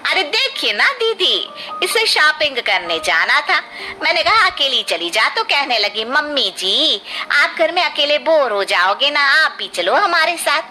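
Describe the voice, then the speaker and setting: A woman's voice: sits high, very animated, unhurried, sharp tone, soft background music, exaggerated voice. A woman voicing a cheerful, contented middle-aged mother-in-law in a cartoon family drama, chatty and affectionate. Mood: cheerful, proud. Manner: chatty, affectionate, sing-song.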